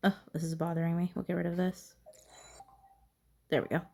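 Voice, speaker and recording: A woman's voice: lowish voice, little pitch movement, fairly quick, creaky, warm tone, slight background noise. A woman giving casual gameplay commentary, thinking aloud to herself in a curious, musing way. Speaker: gamer narrating own playthrough.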